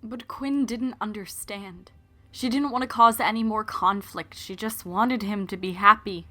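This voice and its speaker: A woman's voice: mid-range voice, unhurried, pushed and bright. A woman narrating an audio drama from a script as a solemn storyteller.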